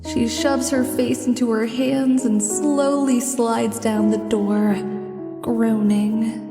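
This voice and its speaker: A woman narrates a scripted fantasy story to the listener. Her voice sits high and moves slowly, with music playing clearly behind it. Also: level tone, roomy sound, in a large hall, distant mic.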